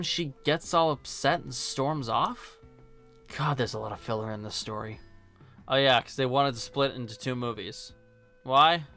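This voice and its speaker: Bright-voiced sarcastic man reviewing films. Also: mid-range voice. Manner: sarcastic, blunt, swears. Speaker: host, video essayist. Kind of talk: casual, opinionated film review narration. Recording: music behind the voice. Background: music.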